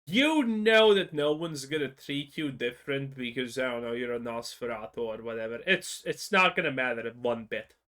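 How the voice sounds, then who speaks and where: A man's voice: mid-range voice, animated, moderate pace, few pauses, fairly bright tone. A man giving blunt, scornful reaction commentary on a video, ranting casually.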